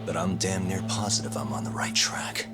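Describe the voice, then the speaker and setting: A man playing a dogged, cocky investigator in a dramatic video game cutscene, speaking in a measured, earnest and needling way. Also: low-pitched, lively intonation. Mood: accusing, sure. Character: dogged male investigator, calm, cocky and accusing. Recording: music behind the voice.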